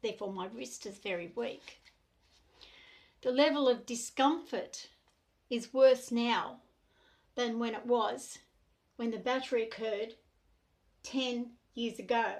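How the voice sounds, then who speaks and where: A middle-aged Australian woman speaking to the camera in an earnest, deliberate way, in short measured phrases. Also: a little high, darkish tone. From New South Wales, Australia. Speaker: self-represented complainant. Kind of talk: monologue to camera.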